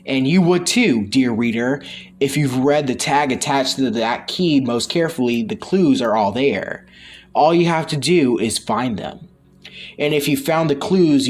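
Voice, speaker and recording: A man reading a comic book aloud as a dramatic storybook narration. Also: mid-range voice. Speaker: reader of a comic read-along.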